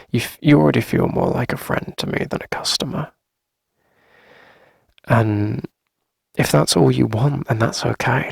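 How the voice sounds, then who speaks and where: A man playing a shy, smitten coffee shop owner in an intimate ASMR roleplay, speaking softly and hesitantly with a breathy delivery. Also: medium pitch, no room sound, studio quality, full character voice. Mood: shy, earnest. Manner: hesitant, soft-spoken, breathy, nervous little laughs.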